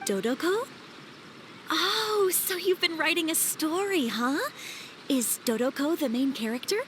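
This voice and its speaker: Casting: friendly woman clerk in a game, high voice, lively intonation, kindly and bemused. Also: measured pace, slight background noise. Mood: curious. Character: friendly adult woman publishing house clerk, mildly bemused. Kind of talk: video game voice acting.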